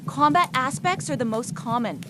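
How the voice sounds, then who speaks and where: A woman's voice: sits high, lively intonation, normal speed, noisy background. A woman voicing a stern, weary old male policeman who gives grave instructions in an audiobook. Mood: patient, instructing. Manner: grave, instructing, clipped warnings.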